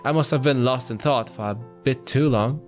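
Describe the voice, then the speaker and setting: A man's voice: medium pitch, moderate pace. A man with a middle-range voice reads a visual novel aloud as the host of a playthrough. His narration is dramatic, and faint music plays behind him. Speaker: let's play host.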